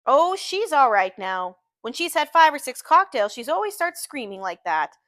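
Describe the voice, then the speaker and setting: A woman's voice: high voice, big pitch swings, moderate pace, fairly bright tone, dry recording, clean studio sound, voice pushed up. A woman voicing a massive, lethargic woman at a tipsy party in an audiobook, gossipy and matter-of-fact. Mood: defensive, matter-of-fact.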